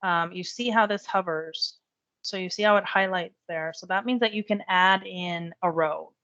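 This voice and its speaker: A woman giving a chatty step-by-step software tutorial while losing her voice. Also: on the low side, normal speed, no room sound, highs cut off. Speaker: real estate software trainer. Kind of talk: screen-share software tutorial.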